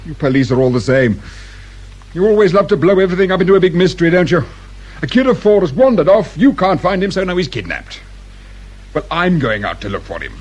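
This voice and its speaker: A man's voice: high-pitched, big pitch swings, brisk, slightly rough, exaggerated voice. An actor playing an anxious, impatient, well-off father with a British accent in a radio drama. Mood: angry, scornful. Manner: impatient, defensive. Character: irritable, anxious, well-off father of a missing boy.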